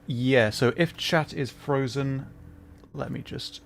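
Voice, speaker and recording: A man's voice: medium pitch, slow, vocal fry, a little hiss or hum. A man livestreaming a game, chatting informally to viewers and explaining as he plays. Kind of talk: casual livestream commentary with chat.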